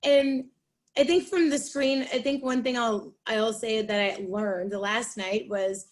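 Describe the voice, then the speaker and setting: A woman with a loud voice explaining her setup on a webinar video call from a room at home. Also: a little high, fairly even intonation, unhurried, a little hiss or hum. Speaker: panel guest. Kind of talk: casual webinar talk.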